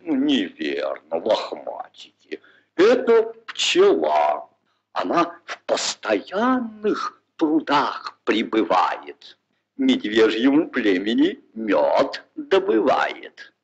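A pompous bear schoolteacher from an old cartoon. He declaims rhymed lessons very slowly, with hugely swooping intonation. The dull vintage film sound carries prominent music. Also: on the low side, wildly swooping pitch, heavily acted. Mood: lecturing. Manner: theatrical, declamatory, deliberate. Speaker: teacher. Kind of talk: rhymed 1940s cartoon acting.

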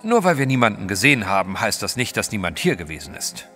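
A level-headed gentleman hero of an audio drama. His delivery is forceful and lively in pitch, emphatic and solemn, with a long reverb around it. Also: fast talker, soft background music, exaggerated voice. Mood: composed, reasoning.

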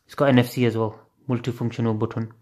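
A man's voice: mid-range voice, level tone, fast, a little mellow. A man casually unboxing and trying out a gadget, thinking aloud and swearing offhandedly, recorded on a phone at home at night. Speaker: unboxing video host. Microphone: phone camera filming hands on a table.

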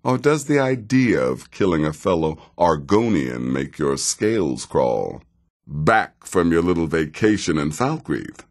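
A very deep, sardonic assassin. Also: male voice, sing-song, exaggerated voice. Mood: wry, dry. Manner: measured, dry, wry menace. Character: sardonic, seasoned assassin of a dark brotherhood. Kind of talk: video game character dialogue.

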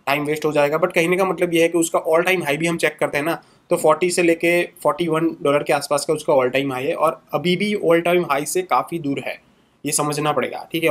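A young man hosting his own channel, talking through charts in a casual, conversational way and closing many sentences with quick tags and fillers. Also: a little high. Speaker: crypto channel host. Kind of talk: casual screen-share market analysis.

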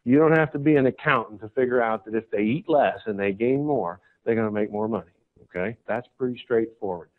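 A man from the United States giving a folksy, persuasive conference talk, often checking in with the audience. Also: a little fast. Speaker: conference speaker, cattle breeder. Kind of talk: conference presentation. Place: conference hall stage. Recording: tinny, phone-like low-fi recording.

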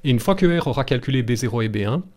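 A man's voice: mid-range voice, lively intonation, racing, a little mellow. Belgian French man teaching informally. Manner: chatty, reassuring, jokey, casually blunt. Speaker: statistics teacher on a livestream. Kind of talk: informal live tutorial, explaining step by step. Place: small home room, indoors.

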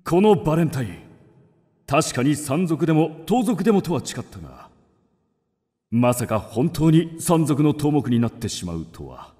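A man plays a young gentleman turned bandit chief, noble and earnest. His fairly high, husky voice moves at an unhurried pace with huge dramatic swings, in radio-play style. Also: very animated, no room sound, studio quality. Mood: musing. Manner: earnest, dramatic exclamations and musing. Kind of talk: theatrical radio drama acting.

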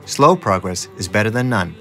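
A man's voice: lowish voice, expressive, normal speed, a bit bright, soft background music. A man reading scripted narration as the narrator of a radio documentary.

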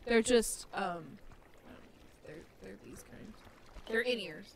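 A woman's voice: medium pitch, slow, creaky. A young woman co-hosting a game stream at home, teasing her partner and reading viewer comments aloud. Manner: teasing, playful, reads chat aloud. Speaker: co-host streamer. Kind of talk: casual livestream banter. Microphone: studio mic through an audio interface, in-ear headphones.

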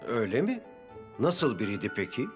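A man's voice: fairly low pitch, very animated, fairly quick. A man voicing a firm but kindly garage chief in a children's cartoon dub, with music behind. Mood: weary, curious.

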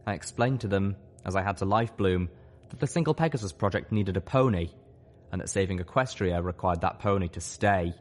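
A man's voice: low voice, a little fast. A man reading scripted first-person fiction as an audiobook narrator.

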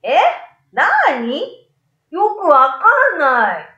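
A woman's voice: high voice, huge pitch swings, very slow, bright, forceful tone, roomy sound, lo-fi sound, voice pushed up, full character voice. A woman voicing a young schoolboy in a storybook reading, childlike and exclamatory, self-assured yet easily startled. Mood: puzzled.

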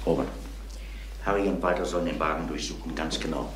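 A composed police inspector in a crime radio play. His low voice puts probing questions in a measured, matter-of-fact way, over faint background noise. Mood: probing, firm. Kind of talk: German crime radio drama acting.

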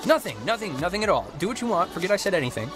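A high, very animated teenage boy talks over game music. He is goofy and nosy, rambling through his jokes with dramatic flair in a natural, conversational game style. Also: very fast. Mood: awkward, earnest. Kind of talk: naturalistic video game dialogue.

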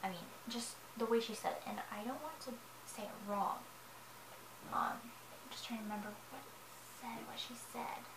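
A young woman with a high, girlish voice, rambling in a personal vlog from her sofa with lots of fillers and self-corrections. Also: moderate pace. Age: young adult. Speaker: vlogger. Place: living room, seated on a sofa. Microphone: camera in front of her. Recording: echoey room with noise.

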